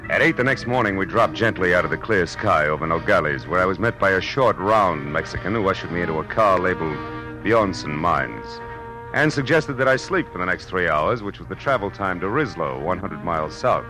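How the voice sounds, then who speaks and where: An actor with an American accent playing a tough, wisecracking private detective in a 1940s radio drama, wry and clipped. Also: male voice, deep voice, animated, brisk, music in the background, poor audio. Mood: hard-boiled, wry. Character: hard-boiled, wisecracking male Los Angeles private detective. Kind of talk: 1940s radio acting, noir narration. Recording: old radio broadcast recording.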